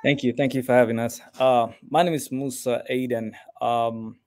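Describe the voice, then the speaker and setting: A man from Somalia, guest on a video-call interview, talking haltingly with many fillers. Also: mid-range voice, steady tone, darkish tone. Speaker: guest filmmaker. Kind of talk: casual interview. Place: home room.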